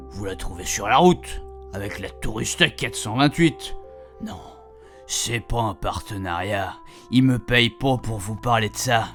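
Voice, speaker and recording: A man's voice: mid-range voice, fairly bright tone. An actor playing a chatty, proud retired husband in a radio fiction, rambling colloquially and speaking straight to his reader. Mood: confiding, rambling. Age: older. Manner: colloquial, digressive, addressing the reader directly. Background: music.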